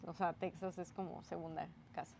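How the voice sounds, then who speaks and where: A woman's voice: lowish voice, flat delivery, a little fast, creaky, a little hiss or hum. A woman from Tamaulipas, Mexico, co-hosting a casual podcast chat outdoors by a pool, easygoing with short replies. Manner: easygoing, short replies, gently corrects her partner. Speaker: podcast co-host.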